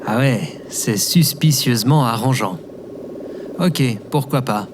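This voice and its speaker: A man's voice: mid-range voice, expressive, slow pace, noisy background. An actor, a man, playing a wry, grumbling companion in an audio drama, casual and sarcastic. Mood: skeptical.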